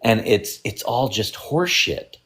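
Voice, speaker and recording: A man's voice: medium pitch, lively intonation, measured pace, a bit bright. A man caller talking earnestly and at length, agreeing and sharing his own lesson. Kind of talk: call-in conversation.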